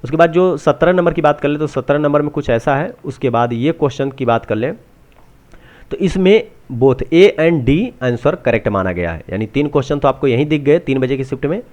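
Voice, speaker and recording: A man talks through exam updates unscripted, halting and often restating what he has just said. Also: on the high side, animated, darkish tone. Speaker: presenter of exam answer-key updates. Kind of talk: unscripted walkthrough explanation.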